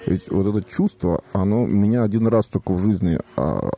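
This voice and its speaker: A man's voice: lowish voice, moderate pace, gravelly, warm tone, dull audio. A man in a comic, rambling discussion, telling anecdotes in an insistent, mock-serious way with hesitations and repetitions.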